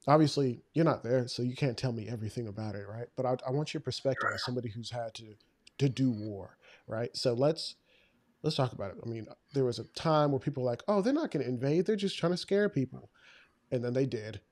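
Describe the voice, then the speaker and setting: A man's voice: mid-range voice, darkish tone, dry recording. Stuffy-voiced man hosting a podcast. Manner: halting, repeats words. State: stuffy from a flu. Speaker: podcast host. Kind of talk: casual interview.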